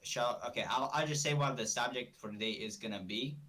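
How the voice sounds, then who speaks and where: A man's voice: mid-range voice, a little fast, bright, forceful tone. A man from Romania, hosting a casual live stream in a rambling, self-correcting way, a bit far from the mic. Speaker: streamer host.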